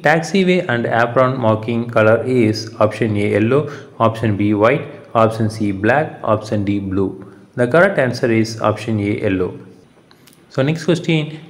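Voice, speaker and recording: A male tutor reads exam quiz material aloud at a medium pitch. His delivery is methodical and repetitive, moving through questions, lettered options and answers in the same set pattern. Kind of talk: reading out multiple-choice quiz questions and answers.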